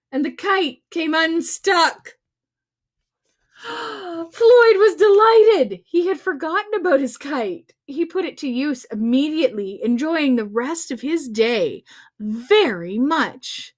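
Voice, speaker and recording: High-pitched, playful woman reading a picture book. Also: expressive. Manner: playful, exclaiming, dramatic emphasis and drawn-out words. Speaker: children's storyteller and host. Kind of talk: animated picture-book read-aloud with asides to young viewers. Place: recorded on a livestream.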